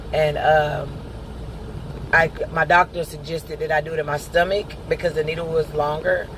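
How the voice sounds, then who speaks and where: A man talks through a casual personal update, his voice sitting a little high. He rambles and stops often to gather his thoughts. A faint hum of traffic and an engine sits under his voice. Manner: rambling, halting with many pauses. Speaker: vlogger.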